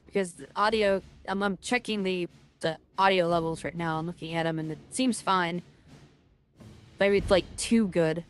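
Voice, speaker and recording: A woman talking through her game as a streamer, rambling and filling her talk with "like" and restarts, with a loud voice. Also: lowish voice, moderate pace, clear, resonant tone, faint music behind. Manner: rambling, lots of fillers and restarts. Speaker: gaming streamer. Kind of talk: casual game commentary.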